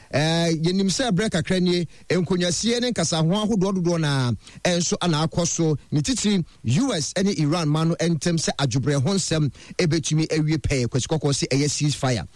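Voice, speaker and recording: A man reading the news on the radio in a measured way. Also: on the high side, no room sound.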